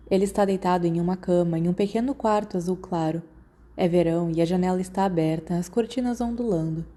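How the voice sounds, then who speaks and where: Female Brazilian narrator for fan audiobook, soft-edged mellow tone, scripted storytelling delivery. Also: medium pitch. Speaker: audiobook reader.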